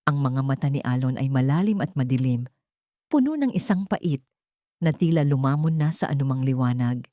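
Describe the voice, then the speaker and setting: A woman's voice: a bass voice, animated, normal speed, dark tone, no echo, studio quality. A woman narrating a dramatic scripted story as an audiobook storyteller.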